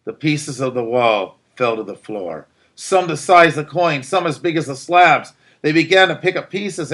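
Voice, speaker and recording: A man's voice: on the high side, fairly bright tone. A man reading a narrative aloud as a teacher would, in a dramatic, emphatic storytelling style. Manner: theatrical, emphatic delivery.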